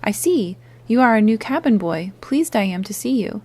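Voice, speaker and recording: A woman voicing a hearty one-legged male pirate innkeeper who blusters loudly in sailor slang, read as an audiobook character. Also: mid-range voice, lively intonation, normal speed, breathy edge. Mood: genial.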